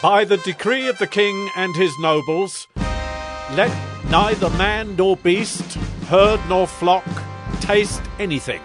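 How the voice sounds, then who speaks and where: An actor playing a solemn, penitent ancient king who makes a grave, halting proclamation in a dramatized scripture reading. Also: sits high. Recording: music under the voice.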